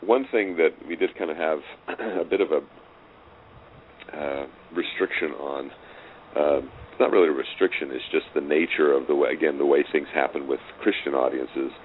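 A middle-aged man with an extremely low voice talks as an interview guest. He is thoughtful and halting, often restarting a sentence. The sound is a narrow, phone-like line with some noise. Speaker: interview guest, radio drama writer. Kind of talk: casual reflective interview. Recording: noisy, muffled phone-like line.

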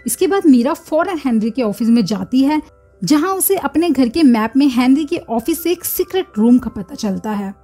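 A woman with a fairly high, mellow voice tells a film's story as a steady scripted recap. Faint background music runs beneath her voice-over. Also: normal speed. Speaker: movie recap narrator. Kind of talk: scripted narration.

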